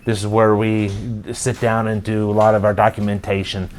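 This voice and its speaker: A man's voice: fairly low pitch, completely flat delivery, normal speed, slight background noise. A man working as a respiratory therapist, explaining his work in a plain, casual way with occasional fillers. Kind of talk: casual workplace interview.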